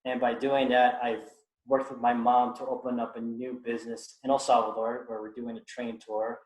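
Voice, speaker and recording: A man's voice: medium pitch, little pitch movement, a little mellow, roomy sound, poor audio. A young American man with an American accent, speaking earnestly about his own life, often restarting his sentences. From United States. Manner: earnest, halting, many restarts and fillers. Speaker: guest speaker. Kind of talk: reflective personal talk.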